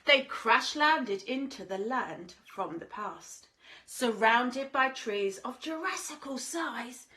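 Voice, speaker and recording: A woman reading a children's story aloud in a dramatic, expressive way, speaking directly to young listeners. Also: a little high, takes it slowly. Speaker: infant school teacher reading stories to children.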